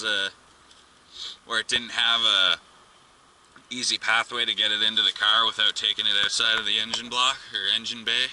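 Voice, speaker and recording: A man talks through a hands-on job as a casual vlog host. His voice sits at an ordinary middle pitch, and his delivery is offhand and slangy. The amateur camera audio carries obvious noise and clicks. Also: bright, forceful tone, rough recording. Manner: informal, offhand, mildly crude slang. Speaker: vlogger, DIY car channel host. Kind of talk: casual hands-on how-to narration.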